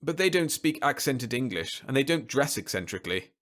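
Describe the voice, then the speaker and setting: A man's voice: medium pitch, very animated, a little fast, fairly bright tone, pitched above the performer's own voice. A man voicing an observant military colonel in a story, with a precise, matter-of-fact manner. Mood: assured, analytical.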